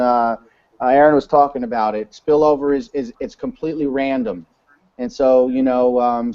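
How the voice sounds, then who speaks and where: Mellow-voiced man on a lo-fi call. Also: medium pitch. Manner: rambling, many fillers and restarts. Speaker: webinar presenter. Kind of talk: casual webinar talk. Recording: dull lo-fi online call audio.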